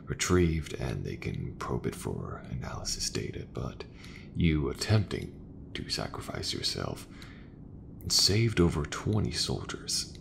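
A man playing an easygoing, folksy sci-fi mechanic in a soft, breathy, reassuring ASMR roleplay voice. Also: rumbling low, sing-song, background noise, exaggerated voice. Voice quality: gravelly, breathy, half-whispered. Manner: slow, unhurried, reassuring, colloquial. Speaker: voice actor.

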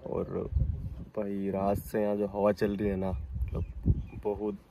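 Young guy vlogging on a phone in an open jeep, lowish voice, lots of outdoor noise behind him. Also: takes it slowly. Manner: relaxed, chatty, talking to camera. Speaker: travel vlogger. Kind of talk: casual vlog narration. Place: open safari jeep in dry forest, outdoors. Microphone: phone held at arm's length. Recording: outdoor recording with clear background noise.

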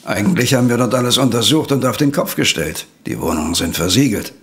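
An actor playing a gruff, impatient police inspector who gives in grudgingly, in a German audio drama. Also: male voice, mid-range voice, expressive, fast talker, a bit bright. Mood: grudging, annoyed. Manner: gruff, begrudging.

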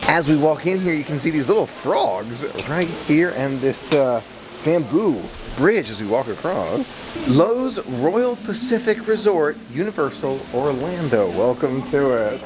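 A man in his thirties giving an enthusiastic walk-and-talk tour for a vlog, repeating words for emphasis, recorded on a camera held at arm's length. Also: medium pitch. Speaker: vlogger. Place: resort lobbies and covered walkways at night. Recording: clearly noisy handheld on-location recording.

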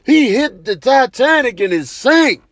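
A man's voice: extremely high pitch, very animated, a little slow, no echo. A man doing comedic voiceover commentary in an exaggerated, incredulous, slangy way.

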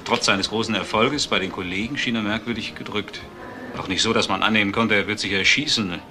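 An actor playing a composed, articulate businessman in a German crime radio drama, speaking in a measured way. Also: lowish voice, over music, close to an everyday voice. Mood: sober, reflective.

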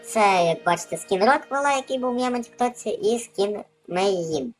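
A woman's voice: mid-range voice, expressive, normal speed, soft background music. A woman hosting a gaming video with casual, off-the-cuff gameplay commentary.

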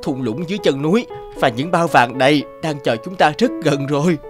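An actor playing a greedy, excitable young peasant man in a fairy-tale cartoon, dramatic and given to exclaiming and pleading. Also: on the high side, a little fast, over music, lightly acted. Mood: eager. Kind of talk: animated fairy-tale character acting.

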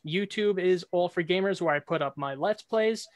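Male gaming creator from New York, high register, casual, dry close recording. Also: normal speed, no echo. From Long Island, New York. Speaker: debate contestant and gaming content creator. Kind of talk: casual video-call chat. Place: indoor room at home.

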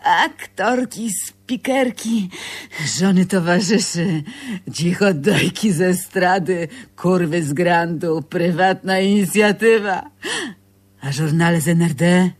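An actress in a Polish radio drama playing a nostalgic, sharp-tongued older seamstress who is wistful and weary. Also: female voice, fairly low pitch, slow.

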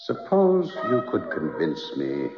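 A man's voice: very deep voice, wildly swooping pitch, slow, full character voice. An actor in a 1970s radio drama playing a mysterious, solemn male stranger who probes and tempts. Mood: solemn, probing. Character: mysterious male stranger offering yesterday back, solemn, probing. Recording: echoey muffled radio broadcast, music behind.

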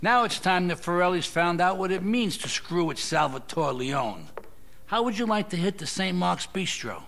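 An aging mafia boss from a crime game, cocky and menacing. His voice is high and forceful, swinging widely in pitch as he throws his weight around. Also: lively intonation, moderate pace, creaky, slight background noise, heavily acted. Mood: pleased. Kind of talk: video game cutscene acting.

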